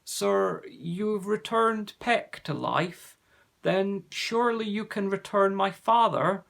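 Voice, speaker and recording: A man's voice: sits high, slow pace, creaky, fairly bright tone, pitched above the performer's own voice, heavily acted. A man doing an eager, pleading young troll.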